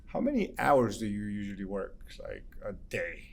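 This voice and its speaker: A young man hosting a casual interview, chatty and easygoing, asking questions in a relaxed way. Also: lowish voice, moderate pace, vocal fry. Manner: easygoing, chatty, laughs along. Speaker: interview host.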